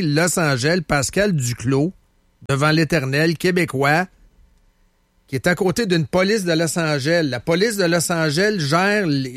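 A man's voice: fairly high pitch, dry recording. Man, Quebec French accent, talk radio host, chatty storyteller, dry studio sound. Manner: rambling, storytelling, many fillers. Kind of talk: casual talk radio chat.

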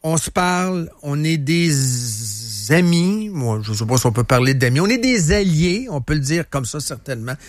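A man's voice: fairly high pitch, very animated, moderate pace, hardly any pauses. A man with a Quebec French accent hosting a casual radio chat, rambling and often restarting his words. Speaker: radio host. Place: radio studio.

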